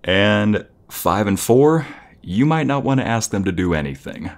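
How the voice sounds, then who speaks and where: Man playing a low-voiced, animated dorky boyfriend. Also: measured pace. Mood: enthusiastic, explaining. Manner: playful, teasing, enthusiastic, stammering and giggly. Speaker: audio roleplay performer. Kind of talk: intimate boyfriend roleplay, casual improvised-sounding chat.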